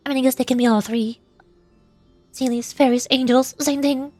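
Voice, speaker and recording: High-voiced woman streaming a game. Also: fairly even intonation, moderate pace, darkish tone, no echo. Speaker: vtuber streamer. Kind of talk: casual game livestream reacting. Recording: game music behind voice. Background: video game music.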